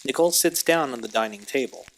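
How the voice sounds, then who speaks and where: A man's voice: mid-range voice, fast talker, poor audio. A man narrating an audio drama from a script, describing the action in a matter-of-fact way.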